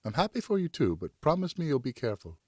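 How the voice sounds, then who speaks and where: A man voicing a nobleman's gentle, caring wife in a soft, tender manner. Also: mid-range voice, big pitch swings, quick pace, dry recording, clean studio sound, pitched above the performer's own voice.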